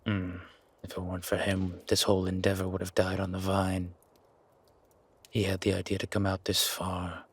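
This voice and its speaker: A man voicing a gruff, wary werewolf who guards his sanctuary, husky and measured, in a roleplay audio drama. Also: sits low, monotone. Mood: reflective. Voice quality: husky, gravelly rasp. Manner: slow, measured, dry, guarded. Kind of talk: roleplay audio drama, intimate one-sided dialogue.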